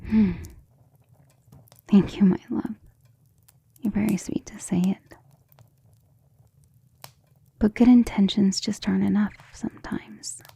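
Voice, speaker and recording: A woman voicing an ancient, tender and protective vampire lover in an intimate, breathy romantic ASMR roleplay, with genteel wording and a vulnerable air. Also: mid-range voice, steady tone, takes it slowly, long pauses, mellow, no room sound, exaggerated voice. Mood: weary, tender. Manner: intimate, breathy half-whispers with sighs.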